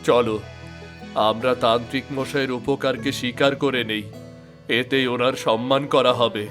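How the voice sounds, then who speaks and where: A man's voice: mid-range voice, flat delivery, moderate pace, fairly bright tone, soft background music, natural voice. A man voicing an earnest village farmer.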